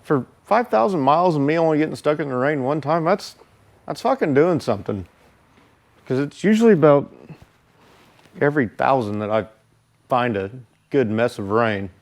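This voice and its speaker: A man giving a casual product review as a motorcycle vlogger, speaking informally and haltingly. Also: medium pitch, lively intonation. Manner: informal, halting, unhurried delivery.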